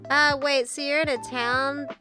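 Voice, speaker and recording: High-pitched Australian woman, stuffy nose. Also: level tone, unhurried. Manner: giggly, rambling, swears casually. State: congested and nasal from the flu. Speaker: streamer. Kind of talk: casual chat reading viewer messages. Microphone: VR headset mic. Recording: streamed with background music. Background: music playing.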